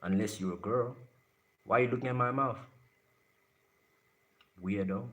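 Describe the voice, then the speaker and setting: A man's voice: lowish voice, a little slow, a bit bright. A man from Africa, chatting casually on a livestream and answering viewer comments bluntly, swearing and trash-talking as he goes. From South Africa. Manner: blunt, brash, swears and trash-talks chat.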